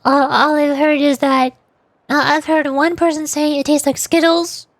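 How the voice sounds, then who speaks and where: High-pitched chatty woman streamer. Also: little pitch movement, moderate pace, no room sound, studio quality. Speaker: livestreamer (VTuber) playing and voicing a game. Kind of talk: casual livestream chat with viewers.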